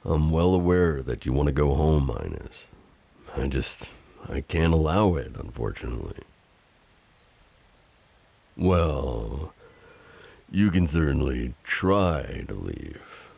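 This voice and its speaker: An extremely low, crackly-voiced knight, acted by a man, heard close and dry. He speaks in a courtly, tender way for a romantic audio roleplay, with lively swings in tone and a teasing, overprotective temper. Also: slow pace, creaky, long pauses, husky, dry recording, heavily acted. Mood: apologetic, firm. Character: loyal, teasing, overprotective male knight hiding a princess. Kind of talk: improvised romantic audio roleplay, addressing the listener.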